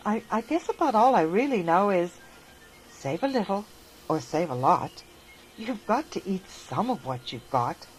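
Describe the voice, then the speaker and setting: A woman reading a rhyming children's story aloud in a gentle, sing-song manner. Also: fairly low pitch, slight background noise, low-quality recording. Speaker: narrator.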